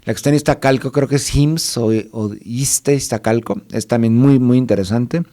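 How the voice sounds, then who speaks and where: A man with a Mexican accent talks loosely on a panel show. He wanders off on tangents and corrects himself mid-sentence, keeping the tone relaxed and conversational. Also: medium pitch, normal speed. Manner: rambling, digressive, with frequent self-corrections. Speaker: co-host. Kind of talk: casual panel chat.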